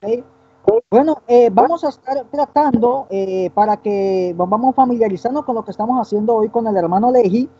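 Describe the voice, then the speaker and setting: Man in his twenties, dark husky tone, halting Bible teacher, informal discussion, dull recording. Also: very high-pitched. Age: 20s. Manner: halting, often adds tag questions seeking agreement. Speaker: Bible teacher co-hosting a broadcast. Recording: dull, muffled lo-fi stream.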